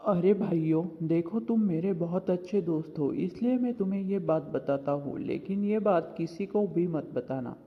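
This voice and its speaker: A man's voice: high-pitched, normal speed, few pauses, natural voice. Man acting kind village husband, hardworking and humble, cartoon story dialogue, dull recording, long reverb. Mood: kindly, earnest.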